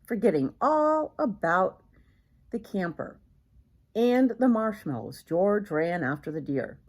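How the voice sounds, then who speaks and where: An older woman reading a children's picture book aloud expressively, as a grandmother would, with questions to the young listener. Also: on the low side.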